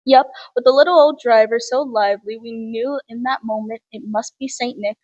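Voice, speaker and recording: A woman's voice: on the high side, normal speed, a little mellow, no room sound, natural voice. A woman playing a homely, chatty mother recounting a Christmas visit in a drama, in muffled sound. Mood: marveling. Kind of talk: theatrical storytelling in an interview.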